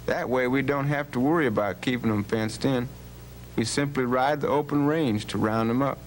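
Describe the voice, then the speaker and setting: A man's voice: medium pitch, moderate pace, natural voice, low-quality recording. A man playing a bold, plain-spoken legendary cowboy rancher in an educational radio drama, with a folksy, authoritative manner. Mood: confident, commanding. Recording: hum and faint background noise.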